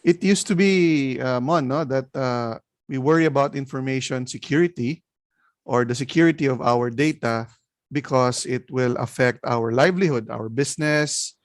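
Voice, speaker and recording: A man, a congressman on a panel, explaining in a measured way and filling his pauses with "uh". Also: mid-range voice, no echo, studio quality.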